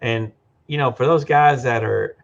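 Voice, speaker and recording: A man's voice: mid-range voice, a little slow, creaky. A man who is a guest in an interview, explaining his business in a loose, conversational way with frequent restarts. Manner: conversational, many restarts and "you know". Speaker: practice management business guest. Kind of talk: casual interview. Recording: dull lo-fi audio.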